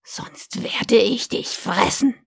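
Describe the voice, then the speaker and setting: A man voicing a cruel, greedy old witch with a shrill, cackling voice. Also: slow pace, gravelly, slightly rough, no room sound, in a whisper, exaggerated voice. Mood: menacing.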